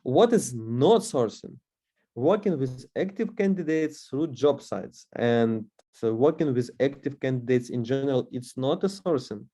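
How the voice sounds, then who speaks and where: Man, Russian accent, webinar lecture, mellow tone, halting with fillers. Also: medium pitch, expressive. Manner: hesitant, many fillers and restarts. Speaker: course instructor.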